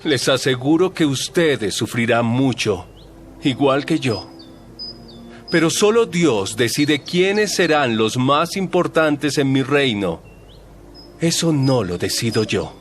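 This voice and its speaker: A man playing a calm, authoritative male teacher, speaking in a measured, solemn way in a dramatized audio Bible. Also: mid-range voice, slight background noise. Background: soft music.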